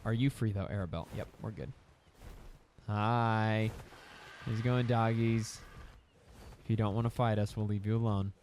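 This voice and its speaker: A man's voice: fairly low pitch, fairly even intonation, normal speed, pauses often, darkish tone, a little hiss or hum. A young man casually commentating a survival game, rambling to his in-game pets and his audience. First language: English. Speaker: gaming YouTuber. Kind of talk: casual let's-play commentary.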